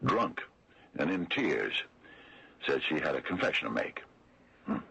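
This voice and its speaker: An actor playing a wry, folksy small-town lawman, speaking deliberately in a 1970s radio mystery style. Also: big pitch swings, bright, forceful tone, full character voice. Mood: somber, sardonic. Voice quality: very deep, gravelly, rough. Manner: deliberate, folksy, sardonic, hesitant drawn-out delivery. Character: wry, slow-talking older small-town lawman exposing a cover-up. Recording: old radio drama broadcast recording.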